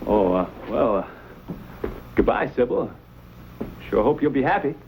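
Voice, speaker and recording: Brash young frontiersman, lively swings. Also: medium pitch, vocal fry, noisy background. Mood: wry, cool. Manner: folksy, halting when flustered. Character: brash, good-natured young frontiersman, bashful when courting. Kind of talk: old-time western drama acting.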